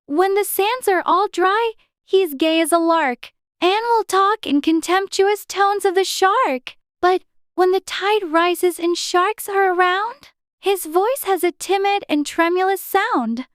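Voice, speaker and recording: A young storybook girl with a tiny, extremely high voice. She is well-mannered and full of wonder, with a timid streak. The sound is dry, with no room around it. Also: slow pace, a bit bright, no room sound, full character voice. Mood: reciting. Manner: prim, wondering. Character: young girl, polite, curious and a little timid.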